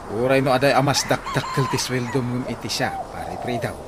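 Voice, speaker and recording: A man's voice: medium pitch, a little fast, noisy background, lightly acted. A man with an Ilocano accent acting a jovial, boastful pal in a radio comedy drama. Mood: proud, jovial. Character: boastful, jovial drinking pal who claims reformed vices.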